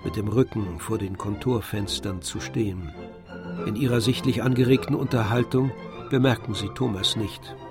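A man's voice: on the low side, moderate pace. Male narrator of a literary radio drama. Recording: faint music behind the narration. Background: faint music.

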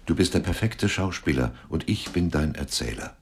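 An actor playing a mysterious, knowing narrator and gatekeeper in a surreal radio drama, speaking in portentous pronouncements with dramatic pauses. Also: male voice, lively intonation. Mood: knowing, solemn. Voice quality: very deep, gravelly, husky.

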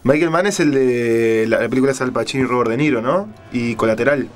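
A man with an average-pitched voice talks quickly. He sounds like a co-host in a casual radio conversation. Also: faint music behind. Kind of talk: casual chat.

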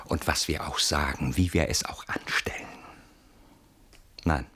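An actor playing an anxious, stubborn, suspicious husband in a German radio play, brooding and arguing in agitation. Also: male voice, extremely low pitch, fairly even intonation, measured pace, pushed and bright, husky, in a whisper, heavily acted. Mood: agitated, suspicious.

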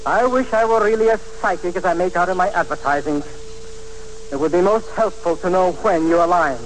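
An actor playing a scheming fortune teller and smooth blackmailer in 1940s radio drama, speaking in an ornate, precise, formal way. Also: male voice, high-pitched, fairly quick, close to an everyday voice, rough recording. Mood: insinuating, smooth. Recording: hissy 1940s radio broadcast with hum.

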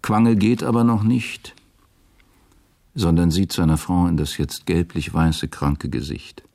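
A man's voice: deep voice, steady tone, a little slow, creaky, darkish tone. A man giving scripted literary narration as the narrator of a radio drama.

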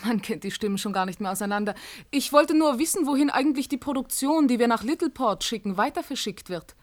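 A woman's voice: a little high, expressive, quick pace, lightly acted. Inquisitive female office employee, played by an actress. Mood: insistent, curious. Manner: questioning, matter-of-fact. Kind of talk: German science-fiction radio play acting.

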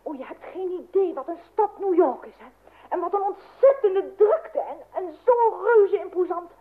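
An actress playing an excitable, worn-out young daughter with gushing exclamations, in an old-fashioned radio play. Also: female voice, very high voice, normal speed. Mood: excited, gushing. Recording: tinny band-limited old radio recording.

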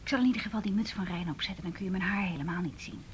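Actress as a hushed, headstrong young woman. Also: lowish voice, rapid-fire, slight background noise, lightly acted. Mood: breezily defiant. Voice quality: hushed, breathy. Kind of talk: naturalistic radio drama acting.

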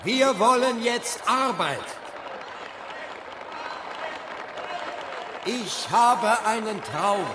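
A slow, high-pitched impassioned preacher. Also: male voice, animated, over a noisy crowd, very noisy. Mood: impassioned, demanding. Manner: solemn, declamatory. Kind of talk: rally oratory in a radio play.

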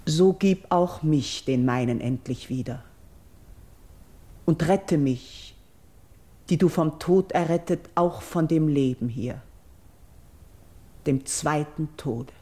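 An actress playing a noble, devout exiled priestess in grief, delivering classical radio verse in a solemn, declamatory, measured way, at times hushed. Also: female voice, deep voice. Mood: pleading, devout.

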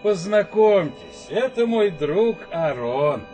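A man's voice: sits high, big pitch swings, slow pace, dark tone, faint music behind, full character voice. An actor playing an enigmatic man who speaks in a theatrical, savouring manner in an audio drama. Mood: sociable.

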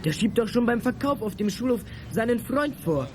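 A man playing a thoughtful, fair-minded boy in a youth radio play, speaking in a measured, doubting way. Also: very high voice, expressive, slight background noise. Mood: doubtful. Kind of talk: 1980s German youth radio play acting.

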